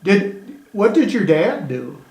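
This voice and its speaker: A man in his sixties from Virginia leads an oral history interview with a slightly high voice. He keeps the talk going with quick, encouraging replies. The room has a noticeable echo. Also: big pitch swings, darkish tone. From Virginia, outside Washington D.C. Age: 60s. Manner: friendly, encouraging, many short affirmations.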